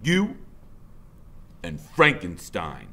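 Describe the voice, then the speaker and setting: A man voicing a big, mocking, menacing biker gang boss in a storybook, with a rough colloquial drawl. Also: deep voice, huge pitch swings, very slow, sharp tone, exaggerated voice. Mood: sly threat.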